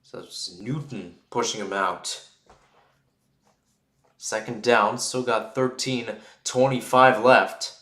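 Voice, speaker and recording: A man's voice: medium pitch, steady tone, takes it slowly, long pauses, a bit bright, echoey room. A man calling live American football play-by-play for radio, chatting through asides and swelling on big plays.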